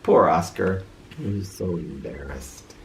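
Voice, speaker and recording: A woman reading a children's story aloud in a theatrical, expressive way, with an informal touch. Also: a bass voice, a little slow. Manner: theatrical, with informal asides to listeners.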